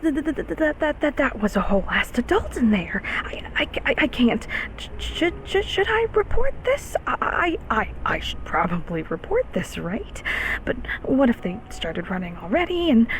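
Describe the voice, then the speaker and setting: Gentle, nervous monster from the closet, female performer, high creaky voice, stammering and apologetic, intimate audio roleplay. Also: a little hiss or hum, low-quality recording. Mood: panicked. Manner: flustered, stammering, repeating words. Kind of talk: audio roleplay acting, spoken to the listener.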